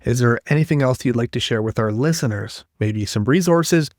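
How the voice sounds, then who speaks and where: Male podcast host, friendly and conversational, mid pitch, dry studio sound. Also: moderate pace, no echo. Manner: friendly, conversational, reads out resource details. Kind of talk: interview hosting with scripted info segments.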